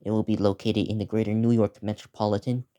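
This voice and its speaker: Teenage male, slightly low voice, dark soft tone, casual vlog preview, halting, repetitive delivery. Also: level tone. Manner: halting, repetitive, listing place names. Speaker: video creator previewing upcoming videos.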